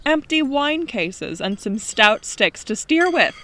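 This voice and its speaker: A woman's voice: fairly high pitch, big pitch swings, slow pace, a bit bright, a little hiss or hum, full character voice. A woman playing a blunt, wisecracking house guest in an audio drama, with a dry, wry way of talking. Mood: enthusiastic. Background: music.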